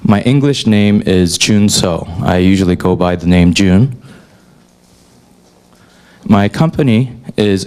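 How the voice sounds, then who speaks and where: Man, forties, slightly low voice, relaxed lecture to students, conversational, frequent uh fillers. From Korea, raised in North America. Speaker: newspaper chief editor giving a talk. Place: lecture hall.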